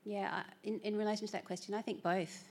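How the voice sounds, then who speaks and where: A woman's voice: mid-range voice, steady tone, quick pace, darkish tone. A woman moderating a panel, reflective and hesitant, with plenty of "you know" and "um". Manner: reflective, hesitant, with many fillers. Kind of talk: panel discussion.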